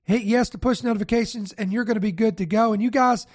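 A male pastor hosting a teaching broadcast, raspy and nasal with a head cold, talking casually and straight to his viewers. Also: sits high, fast talker. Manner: relaxed, speaks straight to viewers. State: congested from a virus.

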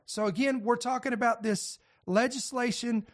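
A podcast host with a naturally raspy voice, getting over a head cold, talking in loose, rambling commentary with fillers and restarts. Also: male voice, very high-pitched, steady tone, normal speed, noisy background. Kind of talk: casual commentary.

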